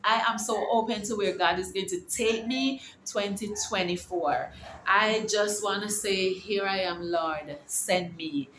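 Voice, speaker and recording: A woman's voice: mid-range voice, a little slow, fairly bright tone, slight background noise. Encouraging woman in an echoey room. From Jamaica. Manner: encouraging, preachy, rambling with restarts. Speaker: vlogger. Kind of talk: casual faith-filled vlog. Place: her home. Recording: echoey room with faint ambience.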